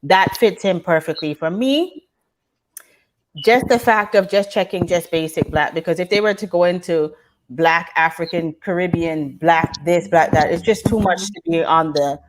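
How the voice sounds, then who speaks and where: A woman who grew up in Canada talks as a panelist on a live show. Her voice sits on the low side, and she explains things in a reflective, conversational way. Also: normal speed, poor audio.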